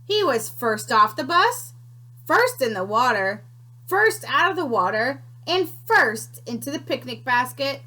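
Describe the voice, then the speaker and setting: A woman teacher reading a picture book aloud to children, expressive and unhurried, pausing to ask questions. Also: high-pitched, slightly rough.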